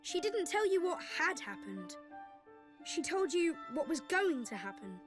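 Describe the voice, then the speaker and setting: A woman voicing a gentle, wise young boy prince in a video game cutscene, speaking in a measured, earnest way. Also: very high voice, big pitch swings, heavily acted. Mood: earnest, calm. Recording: orchestral game music behind.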